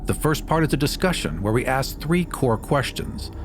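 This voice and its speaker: A man's voice: medium pitch, lively intonation, normal speed, noisy background. A man speaking as host and player on a tabletop gaming podcast. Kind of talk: casual podcast talk. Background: music.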